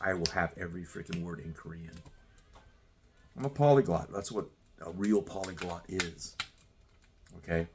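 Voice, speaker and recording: A man in his sixties delivering a profane, confrontational rant straight at the listener. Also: low-pitched. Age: 60s. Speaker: commentator. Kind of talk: ranting monologue.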